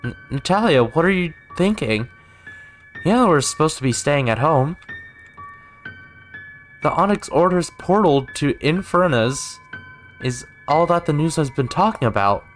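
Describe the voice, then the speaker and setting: Clingy, anxious young woman for a visual novel, played by a man, pitch lifted above his own. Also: animated, unhurried, pauses often, faint music behind. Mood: worried. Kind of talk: visual novel dialogue read aloud.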